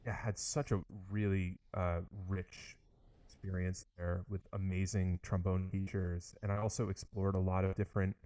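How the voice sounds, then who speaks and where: A young man with a low voice, speaking in a fairly flat, even tone. He is an orchestral trombonist chatting eagerly through an interview, full of likes and restarts. The recording is dry and close. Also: moderate pace, no echo. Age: young adult. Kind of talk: casual interview conversation.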